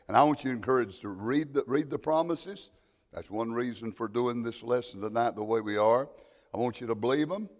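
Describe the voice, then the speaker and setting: A loud-voiced man teaching an evening Bible lesson, plainspoken and folksy, with a homespun joke now and then. His pitch sits at an ordinary middle level for a man. The sound carries a long reverb, as if he is recorded in an echoey hall.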